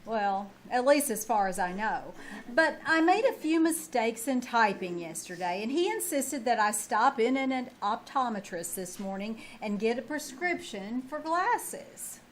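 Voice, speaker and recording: A woman playing a sardonic schoolteacher with deadpan wisecracks in old-time radio comedy style. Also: fairly high pitch, measured pace. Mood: wry, put-upon. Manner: deadpan, sarcastic delivery. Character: wry, sardonic female schoolteacher with dry wisecracks. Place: small town theater stage. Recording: live stage recording with background noise.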